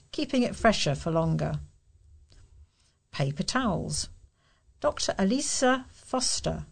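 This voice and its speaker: A British woman reads a printed article aloud as a volunteer reader. Her voice sits a little lower than most women's, and the delivery is plainly scripted. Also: gravelly. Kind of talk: scripted reading of lifestyle article.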